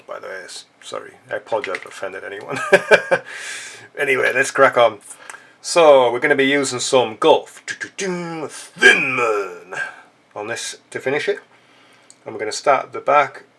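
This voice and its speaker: A man's voice: lowish voice, bright, forceful tone. A man with a stuffy, blocked-up nose, chatting casually and joking as he walks through a fly-tying tutorial. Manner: chatty, joking asides while working. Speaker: fly-tying channel host.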